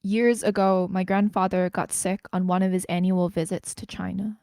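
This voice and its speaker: A woman with a fairly low voice, recorded dry and close. She is a spoken word poet sharing her work at a showcase. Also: level tone, normal speed, a little mellow, no echo. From Canada, Greater Toronto Area. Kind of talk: spoken word poetry performance with casual introductions.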